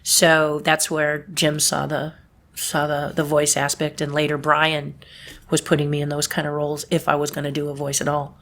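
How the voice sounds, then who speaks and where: A middle-aged woman from Southern California with a deep voice, chatting about her puppetry work in an interview and laughing at her own stories. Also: monotone, normal speed, fairly bright tone, a little hiss or hum. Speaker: puppeteer guest. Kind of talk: casual interview storytelling.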